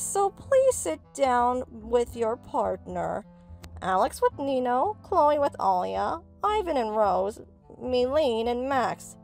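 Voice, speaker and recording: A woman's voice: high-pitched, vocal fry, exaggerated voice. A woman voicing a stern, sarcastic female school teacher, formal and dry, in a comic dub. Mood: instructing.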